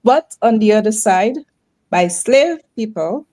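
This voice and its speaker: Woman, from Africa, panel contributor, high-ish pitch, soft mellow tone, casual discussion. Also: animated, unhurried, no room sound.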